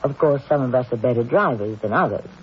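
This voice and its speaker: A man hosting a radio mystery, delivering scripted old-time narration in a measured, theatrical, philosophical manner. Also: mid-range voice, expressive. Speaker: host, narrator. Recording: dull, hissy old radio recording.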